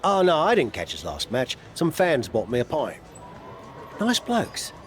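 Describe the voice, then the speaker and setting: An actor playing a cheeky, blustering wrestling coach in a video game, with a jovial, teasing manner. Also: male voice, on the high side, huge pitch swings, unhurried, soft background music. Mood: jovial, breezy. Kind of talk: video game cutscene acting.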